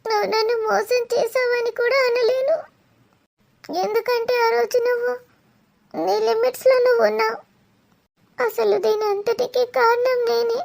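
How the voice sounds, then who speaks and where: A woman's voice: very high-pitched, unhurried, gravelly, pauses often, noisy background, heavily acted. A woman playing a heartbroken young married woman who pleads in a tearful, crying monologue. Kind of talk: melodramatic audio drama.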